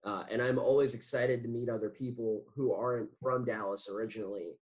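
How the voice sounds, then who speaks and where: Male podcast host, mid-range voice, friendly measured interviewer. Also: mid-range voice, fairly even intonation, low-quality recording. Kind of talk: interview questions.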